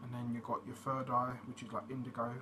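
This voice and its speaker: A man hosting his own channel, giving a casual explanatory monologue in a steady way, with frequent fillers. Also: medium pitch, fast talker. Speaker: channel host. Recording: noticeable background noise.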